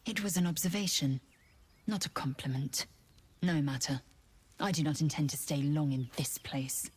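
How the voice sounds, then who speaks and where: A woman's voice: low voice, moderate pace, darkish tone, slightly rough. An actress voicing a haughty, blunt alien warrior woman in a video game, speaking in a condescending, commanding way. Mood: disdainful.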